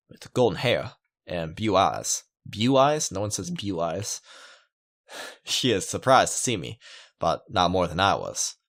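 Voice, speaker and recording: A man reading a visual novel aloud in a casual, halting way, dropping in his own asides. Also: deep voice.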